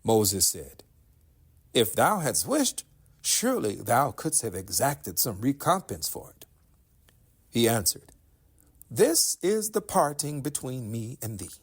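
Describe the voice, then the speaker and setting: A man with a slightly husky voice giving a solemn, formal reading of scripture in measured, declamatory phrases. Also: medium pitch, wildly swooping pitch. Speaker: reader of scripture. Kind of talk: solemn scripture recitation in English translation.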